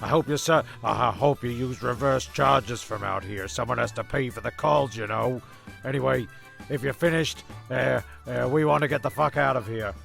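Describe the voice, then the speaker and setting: A very creaky-voiced actor as a male storyteller narrates a comic radio play. He is hesitant and deadpan, bumbling and irritable, with music playing clearly underneath him. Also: medium pitch, normal speed. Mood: exasperated. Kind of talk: rambling, sweary comic narration.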